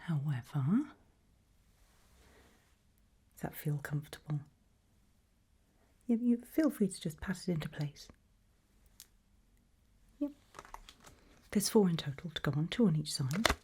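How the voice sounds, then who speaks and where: Breathy whispering British woman, slow and soothing. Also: deep voice, expressive. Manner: gentle, soothing, unhurried reassurance. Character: calm, attentive sleep clinic practitioner. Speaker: ASMR roleplayer playing a sleep clinic practitioner. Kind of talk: whispered ASMR roleplay.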